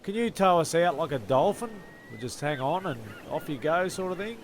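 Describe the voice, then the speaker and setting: A man playing a sensible castaway who gently corrects others in an amateur comedy audio drama. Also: a little high, very animated, normal speed, background noise. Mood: practical.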